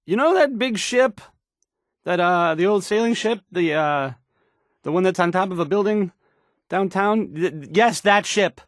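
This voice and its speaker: An actor playing a nervous, bumbling, insecure young radio DJ in a game, hesitant and stammering through the news. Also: male voice, sits high, animated, measured pace, no room sound, clean studio sound. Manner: stammering, hesitant, self-doubting. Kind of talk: halting radio news announcing.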